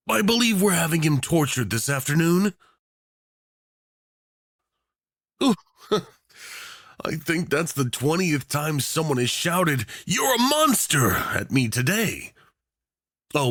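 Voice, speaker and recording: Man playing theatrical, smug yandere tyrant, wildly swooping pitch. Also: vocal fry, a bit bright, slightly rough, no echo, clean studio sound, full character voice. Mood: gleeful menace. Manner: theatrical, mock-sweet, drawn-out endearments. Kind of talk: ASMR roleplay acting.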